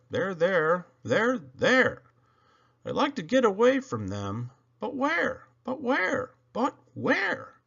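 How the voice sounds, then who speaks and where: A man reading children's poems aloud expressively, with theatrical, playful emphasis and dramatic pauses. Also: a little high, a bit bright, highs cut off. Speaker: storybook reader.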